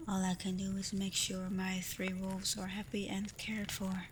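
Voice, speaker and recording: A woman voicing a caring alpha werewolf in a soft, breathy ASMR roleplay, gentle and reassuring, confident but privately unsure. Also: mid-range voice, unhurried, natural voice. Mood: tender, unsure. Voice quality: soft, breathy, often near a whisper. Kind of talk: ASMR roleplay, soft intimate talk to the listener. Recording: clear steady ambient noise.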